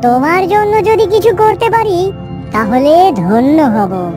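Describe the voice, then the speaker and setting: Woman voicing a high-pitched, naive cartoon heron. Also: sing-song, slow, music in the background, exaggerated voice. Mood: kindly. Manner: earnest, generous. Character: kind, trusting, naive, slightly proud heron. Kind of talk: children's cartoon voice acting.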